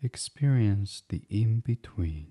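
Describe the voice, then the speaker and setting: A man guiding a bedtime meditation as a podcast host, speaking softly and soothingly in an unhurried, hushed, breathy way. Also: fairly low pitch, expressive. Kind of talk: guided meditation, gentle bedtime reading.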